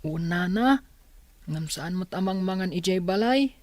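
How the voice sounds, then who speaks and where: A timid older brother played by a woman in an Ilocano radio drama. The boy's voice is somewhat low and recorded dry, meek and protective, with an Ilocano accent. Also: animated, measured pace, a little mellow, dry recording. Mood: hesitant.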